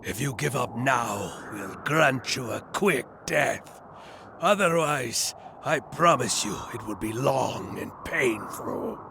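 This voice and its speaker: Slow, creaky, menacing warrior. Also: male voice, medium pitch, animated, background noise. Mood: cold threat. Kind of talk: dramatic video game cutscene acting. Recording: loud ambient sound behind.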